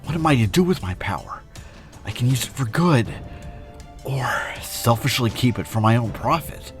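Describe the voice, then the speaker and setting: An actor playing a noble, confident atomic superhero in an earnest, declamatory old-time radio drama style. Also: male voice, medium pitch, animated, normal speed, soft background music, close to an everyday voice. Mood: solemn resolve.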